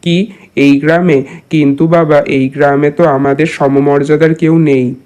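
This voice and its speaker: A man's voice: fairly high pitch, level tone, measured pace, darkish tone, natural voice. A man voicing a proud, status-conscious village headman and husband in a cartoon, speaking earnestly. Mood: concerned. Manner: earnest, addressing his wife familiarly. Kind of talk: cartoon dialogue. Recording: music behind the voice.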